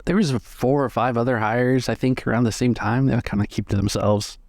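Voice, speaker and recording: A man playing an easygoing, chatty veteran sailor in a tabletop game, with a folksy, relaxed way of talking. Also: medium pitch, brisk, dry recording, studio quality, close to an everyday voice. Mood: gossipy, candid. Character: Patty, easygoing veteran male sailor on a trading ship.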